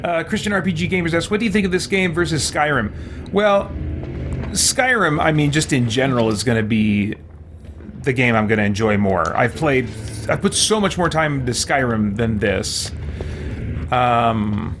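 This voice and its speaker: A man's voice: medium pitch, a little slow, noisy background. A man hosting a let's play, giving casual commentary as he plays. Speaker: gaming host.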